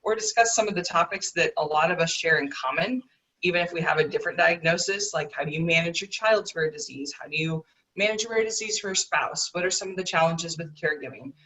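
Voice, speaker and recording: A woman guest speaks fluently and with enthusiasm over a video call. Her voice is bright, and she sits a little back from the mic. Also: fairly low pitch, quick pace, recorded from a distance, poor audio. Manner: fluent, enthusiastic, well-practiced. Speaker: guest, rare disease advocate.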